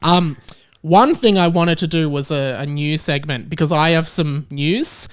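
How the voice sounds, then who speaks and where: Young aussie guy hosting a podcast, pretty high voice, jokey casual chat. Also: measured pace, clean tone. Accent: Australian. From Australia. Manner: jokey, playful. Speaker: podcast host.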